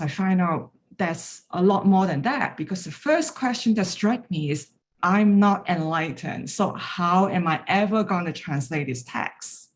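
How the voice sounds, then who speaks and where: Woman sharing a personal story. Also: fairly low pitch. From Hong Kong. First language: Chinese. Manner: candid, storytelling, light self-deprecating humor. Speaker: graduating student speaker. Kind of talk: personal reflection talk.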